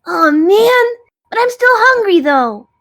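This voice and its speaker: A woman's voice: very high-pitched, sing-song, slow pace, a bit bright, full character voice. A woman playing a childish, sulky dragon in an audio drama, with a whiny, dramatic delivery. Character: childish card-playing dragon, hungry, sulky, easily flustered.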